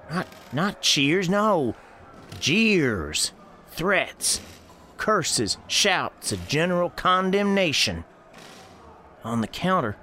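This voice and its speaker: A man playing a folksy, watchful old American storekeeper who is gentle with children, telling his story in a radio drama. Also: fairly high pitch, a little hiss or hum, exaggerated voice. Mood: uneasy, watchful. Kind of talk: first-person scripted storytelling in radio drama.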